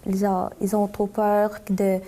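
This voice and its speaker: Mellow-voiced animated teenage girl. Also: medium pitch, slow pace. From Philippines. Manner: animated, rambling. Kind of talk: casual testimony.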